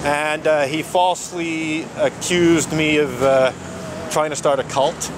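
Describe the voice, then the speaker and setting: A man protesting outdoors, talking in an animated, indignant way and laughing often. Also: a little high, slow pace. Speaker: street protester. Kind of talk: casual outdoor conversation. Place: outdoors on a city street. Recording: outdoor traffic noise.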